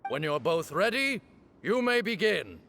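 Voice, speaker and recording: A man's voice: high-pitched, big pitch swings, a little slow, creaky, bright, forceful tone, slight background noise, full character voice. A man playing a stern, formal duel arbiter in a video game, speaking in a ceremonial, commanding manner.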